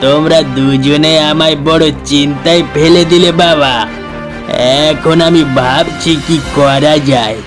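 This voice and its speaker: A man voicing a village headman in a cartoon, measured, pondering and authoritative. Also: fairly high pitch, fairly even intonation, music in the background, lightly acted. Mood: deliberate. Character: village headman, deliberate and fair-minded elder.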